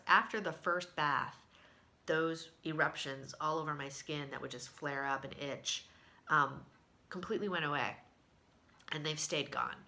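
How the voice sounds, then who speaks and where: A woman's voice: low-pitched, pushed and bright. A woman who sounds congested, giving a casual video diary update with lots of fillers and restarts. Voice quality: congested, nasal. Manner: chatty, with many fillers and restarts. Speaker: vlogger sharing a health diary.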